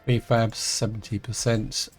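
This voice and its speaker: A man, croaky from a throat infection, casually thinking aloud as he plays through a strategy game, with lots of fillers and restarts. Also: lowish voice, flat delivery, a little slow, soft background music. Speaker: gameplay host. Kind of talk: casual let's-play commentary.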